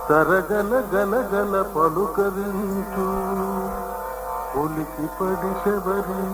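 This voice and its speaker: Male Carnatic-style singer for radio play, high register, very drawn-out phrasing, prominent instrumental music. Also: fairly even intonation, rough recording. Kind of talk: slow Carnatic-style singing in radio drama. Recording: old radio recording with music. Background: Indian classical instrumental music.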